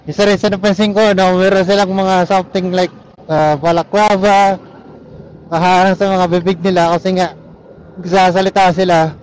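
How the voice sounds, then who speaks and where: A Filipino man with a high-pitched voice talks in a casual, rambling vlog style, slipping easily between Tagalog and English. He speaks into a helmet mic while riding, with faint vehicle and road noise around his voice. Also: level tone, normal speed, rough recording. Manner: easygoing Taglish code-switching. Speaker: motorcycle vlogger. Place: outdoors on a motorcycle, inside a helmet. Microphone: helmet intercom or action camera mic.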